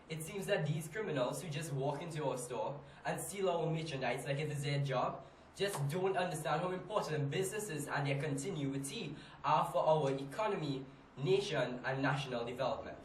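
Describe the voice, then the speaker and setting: A man's voice: fairly high pitch, close to an everyday voice. Trinidadian businessman, played by a man, fed up and emphatic, dramatic monologue, roomy distant sound with faint noise. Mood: exasperated, fed up. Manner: emphatic, exasperated, with rhetorical questions. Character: frustrated, weary businessman fed up with robberies. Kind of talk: dramatic monologue, amateur stage acting. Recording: echoey room, distant mic, faint noise.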